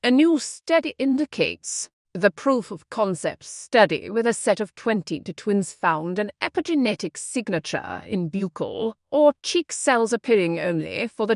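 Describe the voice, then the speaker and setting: A woman's voice: medium pitch, big pitch swings, unhurried, vocal fry, hardly any pauses, no echo, clean studio sound. A woman reading a scripted practice passage aloud for English test learners.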